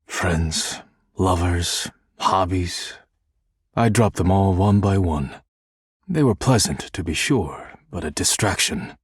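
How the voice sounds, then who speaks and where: A deep, heavily husky male voice, recorded close and dry, for a video game character. He is a reserved, melancholy perfectionist who speaks formally and carefully. Each phrase is measured and a little stiff. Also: dry recording, full character voice. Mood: reflective, wistful.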